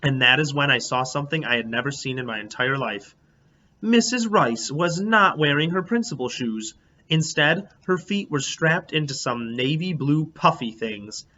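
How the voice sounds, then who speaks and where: A man reading a children's storybook aloud as a teacher, in an expressive, animated, emphatic way. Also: on the high side, moderate pace, dull audio, a little hiss or hum. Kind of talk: expressive storybook read-aloud in a child narrator's first person.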